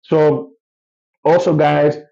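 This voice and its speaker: A man's voice: a little high, level tone, extremely slow, creaky, warm tone, highs cut off. Man with a Colombian Spanish accent, rambling. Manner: rambling, many fillers and restarts. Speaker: travel vlogger. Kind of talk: casual vlog update.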